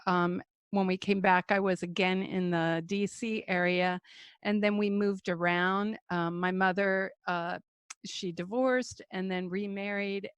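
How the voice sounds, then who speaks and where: An older American woman telling her life story in a relaxed chat, halting and full of fillers, on a video call. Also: medium pitch, clean tone, no room sound. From United States. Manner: slow, halting, many fillers. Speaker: guest speaker. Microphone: computer mic on a video call.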